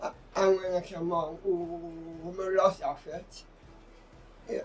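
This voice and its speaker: A man speaking casually in an interview, haltingly, often starting a phrase over before finishing it. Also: sits high, flat delivery. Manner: halting, repeats phrase openings. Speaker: actor and drummer in a stage play being interviewed. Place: outdoors on a sea front. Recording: distant mic outdoors, faint music behind.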